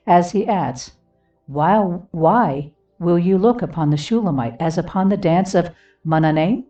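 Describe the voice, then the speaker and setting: A woman reading a devotional book aloud expressively, in a solemn, earnest manner with deliberate phrasing. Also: fairly low pitch, warm tone, recorded from a distance, muffled recording. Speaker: audiobook reader. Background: music.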